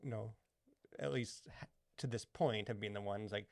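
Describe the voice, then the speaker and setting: A man's voice: lowish voice, normal speed, gravelly, darkish tone, no room sound. Rambling man on a dry podcast mic. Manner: rambling, heavy use of "like" and "you know". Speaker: podcast co-host. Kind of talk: casual podcast chat.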